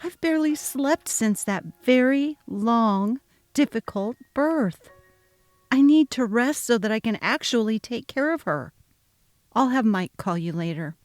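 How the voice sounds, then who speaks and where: Woman reading as a worn-out young new mom, earnest, a bit high voice, quiet music behind. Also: expressive, a little slow, dry recording. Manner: tired, earnest, pleading. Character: exhausted young new mother. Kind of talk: dramatic reading of a text-message story.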